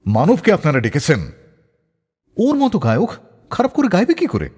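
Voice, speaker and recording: Animated radio storyteller, husky man. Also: high-pitched, moderate pace. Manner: theatrical, acts out quoted dialogue. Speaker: radio show host. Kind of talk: dramatic anecdotal storytelling narration. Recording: long reverb over music bed. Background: background music.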